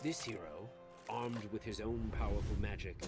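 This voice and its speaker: A man acting as a solemn storyteller, narrating an animated fairy tale in scripted storybook style. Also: fairly low pitch, normal speed, over loud music, close to an everyday voice. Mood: grave, dramatic.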